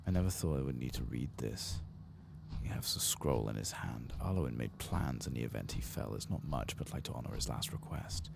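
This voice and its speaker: Casting: man with extremely low, gravelly voice, soft-spoken ASMR game streamer, clear background noise. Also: expressive, fairly quick. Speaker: streamer playing a fantasy game.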